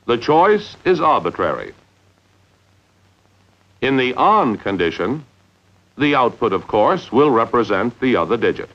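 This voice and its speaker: A man narrating a 1950s instructional training film in a measured, deliberate way with emphatic enunciation. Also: medium pitch, sing-song. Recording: dull, lo-fi 1950s film soundtrack.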